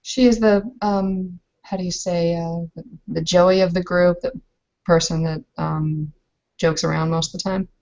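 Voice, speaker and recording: A woman's voice: lowish voice, fairly even intonation, unhurried, a little mellow, muffled recording. A woman playing a composed, frank Bavarian bride in a tabletop roleplay, hesitating as she searches for words. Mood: explaining, friendly.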